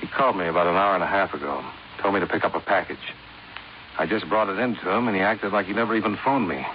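A man's voice: low-pitched, quick pace, natural voice. An American man playing a hard-boiled, inquisitive insurance investigator in 1950s radio drama style, clipped and matter-of-fact. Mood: uneasy. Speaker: lead actor and narrator of a detective series. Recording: noisy, narrow-band 1950s radio recording.

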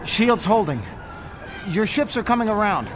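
A man's voice: high voice, expressive, normal speed, heavy background noise. An actor playing an earnest young male Jedi knight, urgent and decisive, in a space opera audio drama with music behind. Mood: focused.

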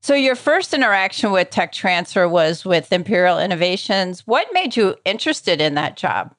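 A woman's voice: lowish voice, big pitch swings, normal speed, fairly bright tone, no room sound, studio quality. A woman hosting an interview podcast, introducing and questioning her guest. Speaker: podcast host.

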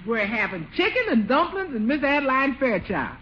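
An actress playing a cheerful, plainspoken cook and housekeeper in a 1940s radio comedy, folksy and giggly. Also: female voice, high-pitched, very animated, moderate pace, highs cut off. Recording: noisy 1940s radio recording.

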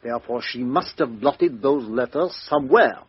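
An actor playing a shrewd, methodical foreign detective in a 1950s radio mystery, speaking in a deliberate, courteous, theatrical way with rhetorical questions. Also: male voice, mid-range voice, slightly rough. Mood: insistent, probing. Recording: dull lo-fi 1950s radio recording.

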